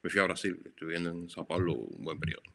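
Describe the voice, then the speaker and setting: A middle-aged man from Cuba speaks with a Cuban Spanish accent in a fairly low voice. He answers interview questions in an unhurried, reflective way. He often stumbles into restarts and repeats his words as he thinks. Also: animated, creaky, sharp tone, husky. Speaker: photographer, interview guest. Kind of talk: conversational interview.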